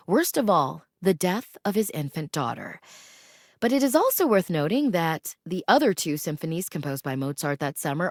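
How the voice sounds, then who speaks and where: An actress playing a cultured, gracious public radio host in a video game, presenting classical music in a measured, articulate and formal way. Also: female voice, on the low side, no echo, studio quality. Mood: grave.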